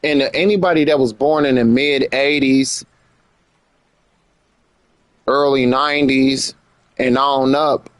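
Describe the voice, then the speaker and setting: Male American streamer, mid pitch, casual and slangy, repeats for emphasis, close dry recording. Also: steady tone, slow pace, long pauses, smooth, no room sound. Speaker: live streamer. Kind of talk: casual livestream commentary chat.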